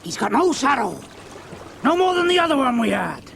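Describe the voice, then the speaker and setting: An actor playing a ruthless pirate captain who barks orders in an audio drama. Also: male voice, extremely high pitch, big pitch swings, normal speed, crowd noise, heavily acted. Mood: stern. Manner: barking orders. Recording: clear sea ambience noise behind.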